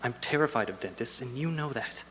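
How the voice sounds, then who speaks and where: A man's voice: medium pitch, lively intonation, fairly quick, a bit bright, slight background noise, close to an everyday voice, poor audio. A man playing a wry, teasing young husband who shrugs things off, in an audio drama performance. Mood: skeptical, wry. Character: flippant, skeptical young husband, aspiring lawyer. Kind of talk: stage audio drama acting.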